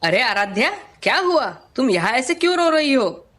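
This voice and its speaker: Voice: high-pitched, very animated, moderate pace, fairly bright tone, full character voice. A performer voicing a naughty, boastful schoolboy in a children's cartoon story, cocky in manner. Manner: taunting, cocky.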